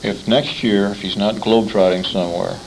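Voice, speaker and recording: A man's voice: lowish voice, fairly even intonation, fairly quick, vocal fry. An American man working as an interviewer, asking questions in a casual, conversational way. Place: radio convention, live interview. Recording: faintly noisy amateur interview tape.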